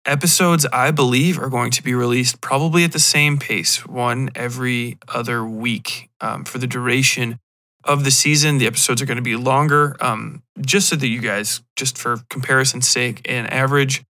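A man with a bright, forward voice hosts a podcast alone. He talks casually and rambles, drifting into digressions with a self-deprecating touch. His pitch sits in the middle for a man. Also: steady tone, normal speed. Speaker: podcast host.

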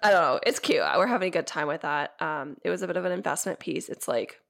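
A young woman co-hosting a podcast in casual chat, sounding congested and stuffy as she gets over an illness, rambling with lots of fillers and quick laughs. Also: sits low, creaky, a bit bright. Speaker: podcast co-host.

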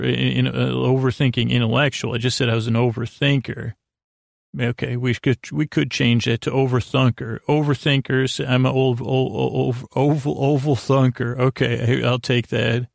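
Male sleep-podcast host, very gravelly, dry close sound; halting, self-deprecating rambler with gently goofy humor. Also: medium pitch, flat delivery, no echo. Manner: meandering, halting, stuttering over words, self-deprecating; mildly silly, playful wordplay. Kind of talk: rambling bedtime monologue, loose storytelling.

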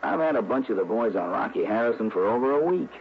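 Brash, animated American police lieutenant. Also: male voice, on the low side. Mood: exasperated. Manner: brisk, slangy, needling. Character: brash, teasing male police lieutenant, old pal. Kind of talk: 1960s radio drama acting. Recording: dull, noisy old radio recording.

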